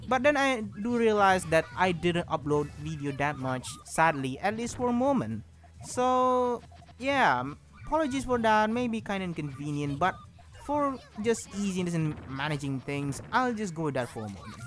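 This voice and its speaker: Young man with lively pitch. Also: moderate pace. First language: Indonesian. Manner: rambling, hesitant, self-correcting. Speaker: channel host. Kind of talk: casual channel update.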